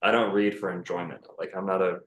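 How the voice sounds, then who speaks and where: A man's voice: sits low, steady tone, a little fast, reverberant, slight background noise. A man talking casually as a podcast guest, rambling and self-assured with many fillers and restarts. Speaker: podcast guest, bookstore owner.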